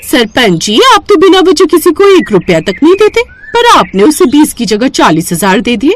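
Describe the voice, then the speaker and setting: Lady doing a nosy suspicious village wife in a story cartoon, high pitched and pointed, bit of noise. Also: big pitch swings, moderate pace. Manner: pointed, questioning.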